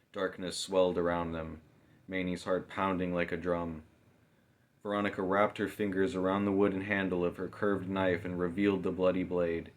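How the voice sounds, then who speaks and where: A man narrating a fantasy novel as a scripted, dramatic audiobook reading. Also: deep voice. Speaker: author narrating own novel.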